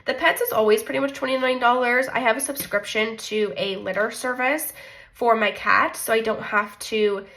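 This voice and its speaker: A woman's voice: fairly high pitch, fairly even intonation, normal speed, a bit bright. A young woman with a congested, slightly nasal voice, chatting casually and self-deprecatingly as she walks through her monthly budget. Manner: chatty, self-deprecating, thinks aloud with frequent likes. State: congested from a cold. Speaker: budgeting vlogger.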